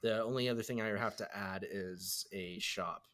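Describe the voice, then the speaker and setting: A young man with a slightly low voice co-hosts a relaxed podcast with friends. He talks in an easygoing way and laughs often. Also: normal speed. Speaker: podcast co-host. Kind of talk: casual chat.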